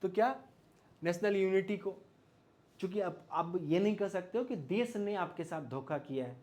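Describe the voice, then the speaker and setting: A man with an Indian accent teaches in an informal, explanatory way. His voice sits a little high. He poses rhetorical questions and adds small check-in tags as he goes. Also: normal speed, darkish tone. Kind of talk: informal explanatory lecture mixing Hindi and English.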